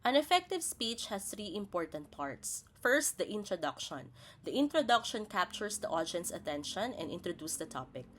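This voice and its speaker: A woman's voice: medium pitch, sing-song, moderate pace, fairly bright tone, a little hiss or hum. A woman presents a scripted lesson as a teacher, spelling out each point in a didactic, step-by-step way. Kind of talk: scripted instructional narration.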